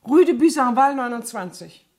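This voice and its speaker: A woman's voice: sits high, animated, moderate pace, a little mellow. An actress in a 1970s radio play playing a faux-naive, chatty cleaning woman with an artless, gossipy manner. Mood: innocently indignant. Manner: artless, gossipy, innocently matter-of-fact.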